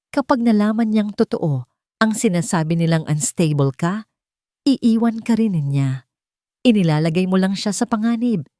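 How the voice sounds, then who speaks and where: A woman's voice: medium pitch, mellow, no echo, studio quality, close to an everyday voice. A woman voicing a heroine's cruel inner voice of doubt, insinuating and accusing, in an audio romance story.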